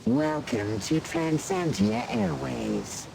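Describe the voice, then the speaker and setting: Odd ceremonious airline attendant, video game, gravelly croak, swooping pitch, noisy rumbling backdrop. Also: male voice, wildly swooping pitch, heavily acted. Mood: courteous, eerie. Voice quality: croaky, creaking. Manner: drawn-out, courteous patter. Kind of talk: video game cutscene acting.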